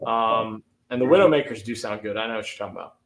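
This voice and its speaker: A man hosting a casual car livestream, rambling and restarting as he talks in quick bursts. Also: fairly low pitch, a bit bright, rough recording. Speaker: livestream host.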